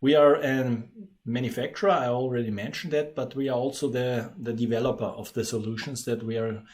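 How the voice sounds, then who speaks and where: A native German-speaking man with an Austrian accent, hosting a webinar and explaining things in a measured way. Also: mid-range voice, steady tone, a little mellow. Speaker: webinar host, company presenter. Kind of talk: webinar presentation.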